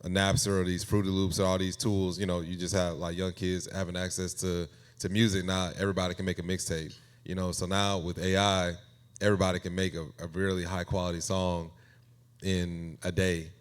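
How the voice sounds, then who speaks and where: A man with a deep, resonant voice talks casually as an interview guest. He works through his thoughts as he goes, with a halting, conversational delivery. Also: little pitch movement, clear, resonant tone. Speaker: guest AI music creator.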